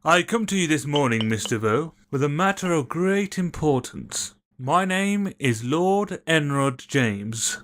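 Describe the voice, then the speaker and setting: A man's voice: a little high, animated, measured pace, hardly any pauses, fairly bright tone. A man playing a formal, hesitant lord in an audio drama, with a stiff, polite manner. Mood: formal, uneasy.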